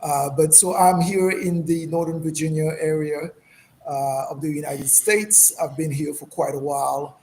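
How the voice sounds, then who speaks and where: A man from Senegal with a fairly high-pitched voice speaks as a guest healer. His phrasing is thoughtful and halting, in a reflective conversational style. There is clear noise behind him. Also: fairly even intonation, a little mellow. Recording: clearly noisy background.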